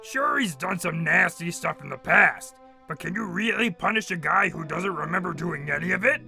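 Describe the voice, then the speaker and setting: A man's voice: high voice, expressive, normal speed, vocal fry, sharp tone, soft background music, heavily acted. A man voicing a laid-back male crocodile detective who talks in a casual, blunt way. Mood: easygoing.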